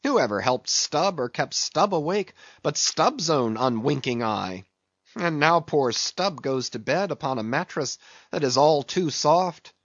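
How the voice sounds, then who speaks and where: A man's voice: a little high, expressive, a little slow. A man voicing a grimly jocular, defiant second mate with a wry, taunting manner in an audiobook. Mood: grim defiance. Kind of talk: dramatic audiobook character acting. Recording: roomy, slightly dull recording.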